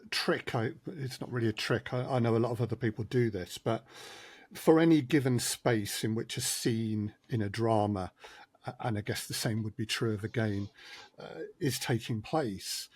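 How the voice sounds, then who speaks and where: A man's voice: mid-range voice, breathy edge, no echo. A man who is a guest on a podcast, explaining his sound design work in a modest, methodical way. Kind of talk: conversational podcast interview.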